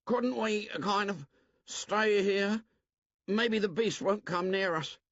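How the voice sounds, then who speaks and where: A man voicing a timid, bespectacled schoolboy who pleads anxiously in a high, strained voice. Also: takes it slowly, a bit bright, no echo, highs cut off, full character voice. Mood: fretful.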